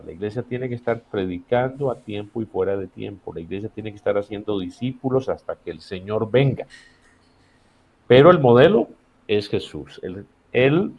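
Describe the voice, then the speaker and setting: Low-voiced Costa Rican man, teaching emphatically. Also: muffled recording, slight background noise. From Costa Rica. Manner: didactic, emphatic repetition in short phrases. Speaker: missions director, podcast guest. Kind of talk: explanatory teaching in a podcast conversation.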